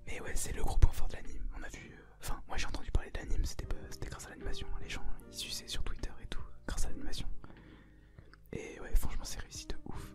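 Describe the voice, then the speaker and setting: Whispering young ASMR reviewer over music. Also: quick pace. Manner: informal youth slang. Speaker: co-host of an anime review. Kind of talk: whispered ASMR anime review, casual chat.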